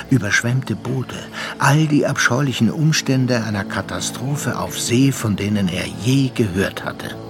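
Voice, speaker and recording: An actor playing a grave, probing storyteller, narrating a literary radio drama in a measured, deliberate way. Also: male voice, fairly low pitch, gravelly.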